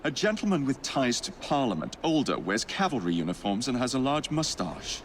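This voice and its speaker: An actor playing a bold young Victorian London rogue with an English accent, wry, casual and confident. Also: male voice, medium pitch, sing-song, moderate pace, slight background noise, natural voice. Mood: courteous, assured. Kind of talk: video game cutscene acting. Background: background music.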